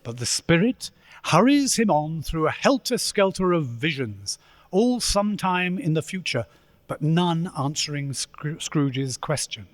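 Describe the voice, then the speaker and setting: A man narrating a ghostly Christmas radio play as its storyteller, in a theatrical, deliberate manner. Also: on the high side, sing-song, no echo. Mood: suspenseful.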